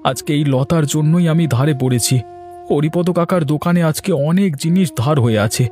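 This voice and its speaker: A man's voice: fairly high pitch, moderate pace, warm tone, lightly acted. A man playing a sarcastic, grumbling poor farmer and long-suffering husband in a cartoon. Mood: distressed, complaining. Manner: sarcastic, complaining. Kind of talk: Bengali cartoon dialogue. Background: background music.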